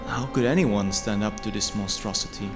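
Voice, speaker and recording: A man's voice: on the low side, normal speed, over music, lightly acted. A man playing an earnest male adventurer who speaks formally and dramatically, full of awe and dread, in a fantasy audio drama. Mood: shaken, awed.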